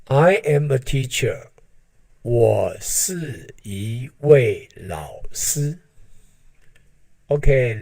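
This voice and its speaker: A man, teaching a language lesson slowly with lots of repetition. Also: medium pitch, warm tone, dry recording. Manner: slow, deliberate, drawn-out syllables.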